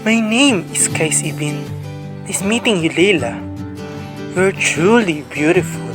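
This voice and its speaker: Earnest young romantic played by a man, radio play, unhurried delivery, faint music behind. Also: high voice, expressive. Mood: smitten. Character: lovestruck earnest young man, poetic suitor. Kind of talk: amateur radio drama acting.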